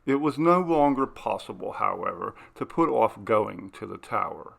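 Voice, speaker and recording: A man narrating a classic ghost story in a measured, unhurried reading. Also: medium pitch, lively intonation, gravelly, fairly bright tone. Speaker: audiobook reader. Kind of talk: scripted narration.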